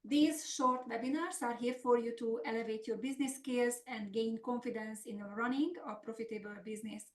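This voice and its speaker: A middle-aged woman with a Hungarian accent presents a business coaching webinar, sounding earnest and upbeat as she works through steady lists of points. Her voice sits a little high, and the echoey room carries it. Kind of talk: semi-scripted webinar presentation.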